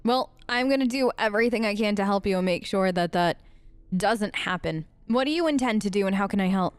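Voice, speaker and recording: A woman's voice: medium pitch, animated, a little fast, clean tone, no echo. A woman hosting a role-play let's play, improvising her character's lines in casual, earnest, informal talk.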